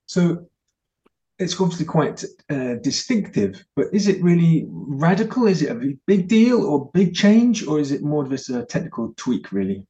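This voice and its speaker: A man hosting an interview, asking questions conversationally in an informal, softened way. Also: fairly high pitch, very animated, moderate pace, highs cut off. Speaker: interviewer, host.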